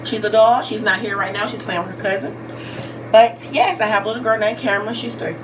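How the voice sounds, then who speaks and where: A woman's voice: medium pitch, steady tone, brisk, background noise. A woman in her twenties from Georgia with a Southern US accent, talking casually and repetitively in slang as she answers questions for a vlog. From Georgia, United States. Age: 20s. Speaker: YouTube vlogger.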